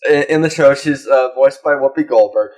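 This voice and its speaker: A man's voice: mid-range voice, normal speed, a little mellow. A man co-hosting a casual comedy podcast, joking crudely and irreverently in loose conversation. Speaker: podcast co-host.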